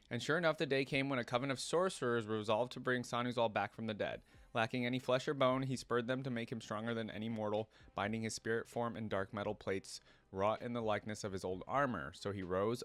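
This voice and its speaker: A man hosting a reaction video, reading lore aloud and adding casual asides. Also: on the low side.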